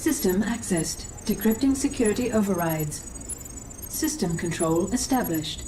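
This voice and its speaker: Woman playing a shipboard AI, mellow mid-pitched voice, impersonal status announcements, distant sound, faint noise, video game. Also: animated, moderate pace, natural voice. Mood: neutral. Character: calm, obedient female shipboard computer assistant. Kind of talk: video game cutscene acting.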